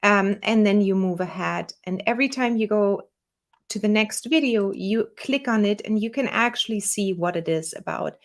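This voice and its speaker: A woman with a German accent, the owner of a small software company, walking viewers through a software tutorial in a relaxed, chatty way with fillers. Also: mid-range voice, normal speed. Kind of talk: screen-share software tutorial.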